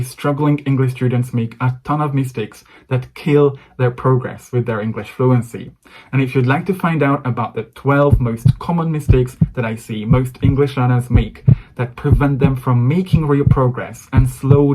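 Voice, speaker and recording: A man's voice: mid-range voice, normal speed, warm tone, slight background noise, poor audio. A young man with a Hungarian accent, a native Hungarian speaker, giving an encouraging to-camera English lesson. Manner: encouraging, direct address to viewer. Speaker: English coach.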